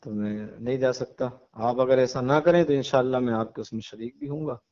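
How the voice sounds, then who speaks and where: A man, an imam, speaking on a phone call interview in a measured, preachy way, slowing down to stress moral points. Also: mid-range voice, mellow. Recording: muffled phone call audio.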